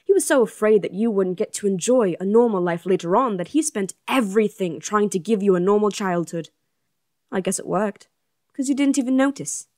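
A woman's voice: medium pitch, a little fast, darkish tone, dry recording, studio quality, lightly acted. Woman playing a grieving, fiery young princess. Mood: angry, hurt. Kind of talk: audio drama acting. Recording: music under the voice.

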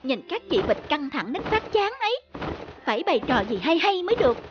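Very high-pitched, cheeky cartoon frog. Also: big pitch swings, brisk, highs cut off, noisy background. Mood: bored, scheming. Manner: playful, exclaiming, teasing. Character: mischievous, cheeky young frog who loves playing pranks. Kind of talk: children's cartoon fable dialogue.

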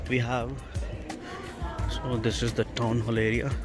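A man giving casual, halting walking tour commentary as a travel vlogger, in simple phrases. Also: medium pitch, little pitch movement, over music. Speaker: travel vlogger guiding a town walk. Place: outdoors on town centre streets.

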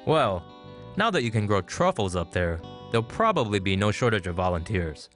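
A man's voice: lowish voice, sing-song, moderate pace, a bit bright, faint music behind. A man reading a scripted news voice-over, closing with a dry joke. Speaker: news narrator.

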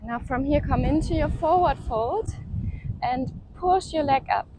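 A woman leading a home yoga workout, calmly cueing breaths and poses and encouraging the listener to keep going. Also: high-pitched, unhurried, noisy background. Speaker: yoga instructor. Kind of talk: guided workout instruction.

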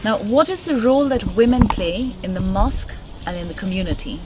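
A woman's voice: medium pitch, lively intonation, fairly quick, noisy background. A woman conducting a casual on-location interview, asking questions of a group. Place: outdoors at a mosque with a view.

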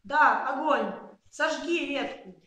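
A woman's voice: sits high, slow, fairly bright tone. A woman voicing a bossy, exasperated father who grumbles and calls out orders in an amateur puppet play. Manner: calling out commands, grumbling. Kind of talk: amateur puppet theatre acting. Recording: very echoey room.

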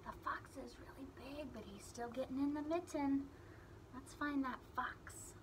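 A woman's voice: sits high, steady tone, moderate pace, noisy background. A young woman reading a picture book aloud to small children, in simple phrases with emphasized key words. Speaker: children's read-aloud storyteller.

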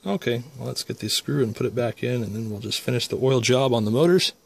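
A man's voice: mid-range voice, quick pace, gravelly. A man presenting a hands-on repair tutorial in a casual, unscripted, folksy way, talking himself through the work. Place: indoor electronics workshop. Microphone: handheld phone camera.

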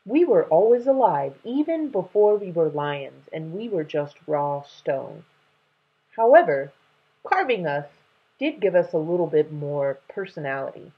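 A woman plays a wise father lion from a children's storybook. The delivery is slow, firm and dignified, with a husky grain. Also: lowish voice, sing-song, muffled recording, heavily acted. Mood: assured. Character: wise, booming adult male father lion, stone guardian. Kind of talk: children's storybook read-aloud.

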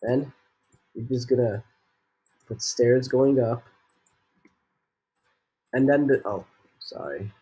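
A man's voice: mid-range voice, slow, pauses often, dark tone, breathy edge, dull audio. A teenage boy voicing a casual building tutorial while sick, rambling with fillers and jokey asides. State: sick, voice a bit off. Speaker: gaming tutorial host.

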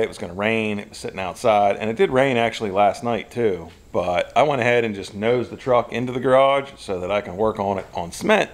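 A man in his forties hosting a car repair video, talking casually and plainly as he works on a truck in his garage. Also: fairly low pitch. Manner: relaxed, plainspoken, explaining as he works. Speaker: car repair channel host. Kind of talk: casual DIY repair narration. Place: garage and driveway beside a truck.

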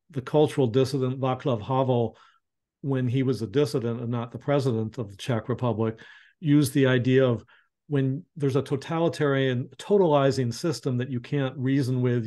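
Panelist, male, 50s, mellow tone, reflective, restarts often, webcam in a home study. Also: mid-range voice. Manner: reflective, searching for words, frequent restarts. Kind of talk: thoughtful discussion on a video call. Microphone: video call webcam.

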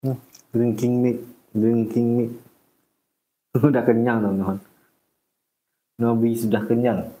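A man in his thirties with an Indonesian accent, hosting a casual livestream from a small room at home, chatting to viewers in halting, simple English mixed with Indonesian and laughing often. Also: medium pitch, little pitch movement, warm tone, low-quality recording. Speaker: livestream host caring for a baby monkey. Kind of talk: casual livestream chat reading viewer comments. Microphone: phone camera at arm's length.

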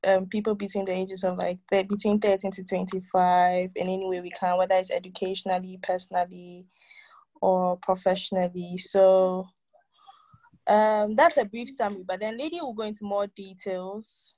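A woman's voice: mid-range voice, fairly even intonation, normal speed, a little mellow, dry recording, poor audio. A young woman, a team lead, introducing herself in an easygoing way over a video call. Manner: easygoing, laughs while talking. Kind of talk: casual meeting talk.

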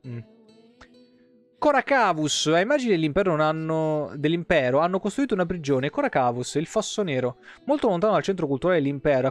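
A man, livestreaming as he reads game lore aloud expressively, with offhand asides and self-corrections. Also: on the high side. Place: indoor room, webcam livestream. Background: soft guitar game music.

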